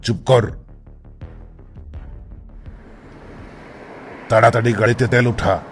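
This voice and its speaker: A man's voice: mid-range voice, steady tone, a little fast, slight background noise, natural voice. A man voicing a bossy, irritable kidnapper boss in a comic cartoon, scolding and commanding in colloquial Bangladeshi Bengali. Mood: impatient scolding. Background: music.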